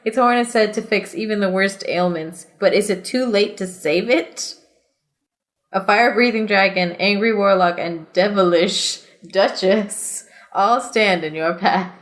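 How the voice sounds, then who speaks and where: A woman's voice: medium pitch, unhurried. A woman hosting a comedy podcast, mixing casual chat and reading aloud with giggly, self-deprecating asides.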